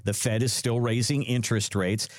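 A man of middle pitch hosts a radio show about money and retirement. He talks in a friendly, chatty way and fills his speech with small asides. The studio sound is dry and close. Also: fairly even intonation, normal speed, no echo. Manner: friendly, chatty, with many "uh"s and "you know"s. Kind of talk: radio finance talk.

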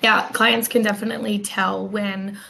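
A woman's voice: medium pitch, flat delivery, normal speed, creaky, pushed and bright. A woman speaking conversationally as a recruiter guest, offering practical advice.

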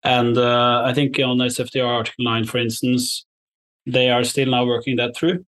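Measured man giving careful interview answers. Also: mid-range voice, level tone, a bit bright. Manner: measured, diplomatic, careful wording. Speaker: investor, interview guest.

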